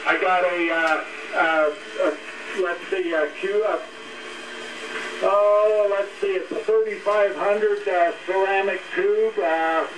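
A man chatting casually as a ham operator over AM shortwave radio, very hesitant, with many pauses. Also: sits high, steady tone. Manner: very hesitant, frequent long pauses. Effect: heard over AM shortwave radio. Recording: noisy, roomy radio speaker, distant from mic. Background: radio noise.

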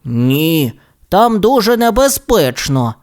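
A timid young tyrannosaurus boy from a storybook, as a woman plays him. He sounds fairly low and expressive. His manner is cautious, earnest and reluctant, protesting against any risk. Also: takes it slowly. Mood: timid, worried.

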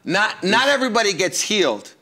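A man's voice: high voice, very animated, brisk, pushed and bright. A middle-aged man, a pastor, teaching faith in an earnest, emphatic way during a studio conversation. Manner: earnest, emphatic, quotes scripture and everyday sayings. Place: studio set with couches.

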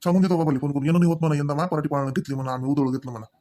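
A man acts out an irritable but resigned village husband from a comedy cartoon. He talks fast in a slightly high voice with a Varhadi Marathi accent, grumbling as he tries to keep the peace. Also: steady tone, mellow, natural voice. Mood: irritated. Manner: grumbling, placating.

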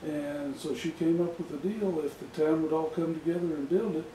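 Older man, chatty storyteller, soft mellow voice, miked from afar in a roomy office. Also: fairly high pitch, steady tone, fairly quick, a little hiss or hum. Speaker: interviewee. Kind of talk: casual interview. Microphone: far camera. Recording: echoey office from far off.

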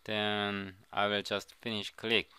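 Korean-born middle-aged man, slow and halting, bright clear voice, dry close sound. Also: on the low side, level tone, no echo. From South Korea. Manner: halting, repeats and restarts words. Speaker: programming tutor. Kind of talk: screen-recorded coding tutorial.

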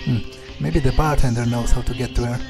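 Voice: medium pitch, steady tone, a little fast, creaky. A gamer doing in-character roleplay commentary in a casual, hesitant mutter. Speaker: gameplay streamer playing in character. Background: game music.

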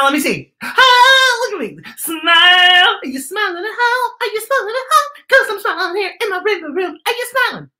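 A middle-aged man presents a story for small children with theatrical flair. His voice is bright and forward, and it swings widely up and down. He plays up his phrases and talks straight to the young listeners. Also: extremely high pitch. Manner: theatrical, playful, exaggerated delivery for children. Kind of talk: children's read-aloud with chatty asides. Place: living room, indoors.

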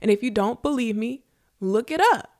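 A woman's voice: on the high side, expressive, normal speed, darkish tone. A young American woman with a congested, nasal voice, chatting casually and directly to listeners as a solo podcast host, close on a studio mic. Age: late 20s to early 30s. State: congested with a runny nose. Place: small indoor room with shelving. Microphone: close studio podcast microphone with headphones.

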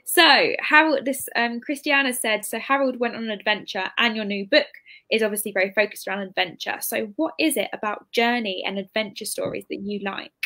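A woman's voice: on the high side, fairly even intonation, fairly quick, sharp tone. A woman, hosting an interview in a casual, chatty, informal way. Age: 20s. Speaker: interviewer, bookshop event host. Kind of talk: casual chat. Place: indoor room, on a video call. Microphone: computer mic on a video call.

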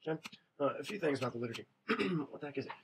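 Fast-talking man lecturing by webcam. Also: mid-range voice, expressive, slight background noise. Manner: quick, conversational, frequent "right?" check-ins. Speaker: religion teacher. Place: small indoor room. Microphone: webcam at desk.

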